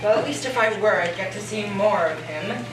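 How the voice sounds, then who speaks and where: A woman's voice: mid-range voice, normal speed, lightly acted. A woman on stage playing a coy, polite young niece welcoming guests to a party. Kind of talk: amateur stage acting. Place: school theatre stage. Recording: echoey, distant, noisy stage.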